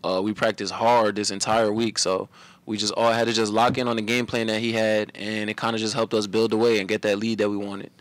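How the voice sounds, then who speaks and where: A young man, a college basketball player, answering reporters after a game in a relaxed, run-on way at a table mic. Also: lowish voice, a little fast, no echo. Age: young adult. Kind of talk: post-game press conference. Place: press room at a table before a logo backdrop.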